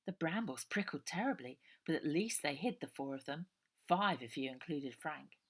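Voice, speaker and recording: A woman reading a children's novel aloud as a storyteller, in an expressive scripted style. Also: low voice, moderate pace, husky.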